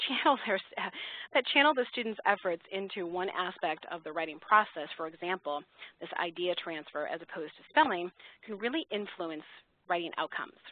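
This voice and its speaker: A woman giving an explanatory, measured slide presentation to educators, partly scripted, as a webinar presenter. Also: lowish voice, no echo. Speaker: software company webinar presenter. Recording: narrow-band phone line, dry.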